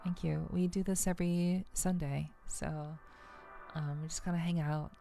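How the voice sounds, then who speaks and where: A woman livestreamer chatting casually to viewers in an ASMR style, with a soothing voice and a relaxed, halting, unhurried delivery. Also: lowish voice, gravelly, slight background noise. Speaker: VTuber livestream host.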